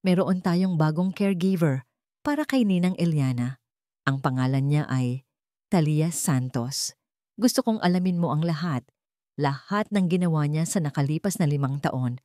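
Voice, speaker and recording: A woman's voice: low-pitched, expressive, moderate pace, warm tone, dry recording, clean studio sound. Woman voicing a terse, brooding Filipino businessman. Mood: urgent, commanding. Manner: terse, commanding. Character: curt, brooding wealthy young businessman, commanding and guarded. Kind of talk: dramatic audio story.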